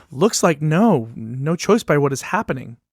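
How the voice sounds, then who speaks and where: A man's voice: on the high side, very animated, unhurried, breathy edge, no room sound, studio quality, heavily acted. A man playing a worried, unsure book puppet in a musical puppet show, anxious and questioning. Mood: doubtful, dejected.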